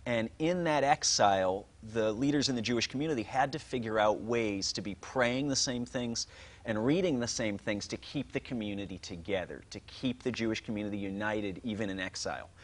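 A man's voice: fairly low pitch, a little fast. Man explaining Hebrew terms patiently. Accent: Israeli. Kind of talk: explanatory conversation.

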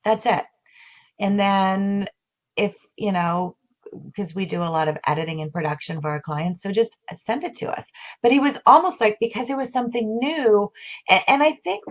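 A woman who coaches on video marketing, talking in a casual interview with animated delivery and lots of restarts. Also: medium pitch. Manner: animated, many restarts and fillers. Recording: dull lo-fi audio.

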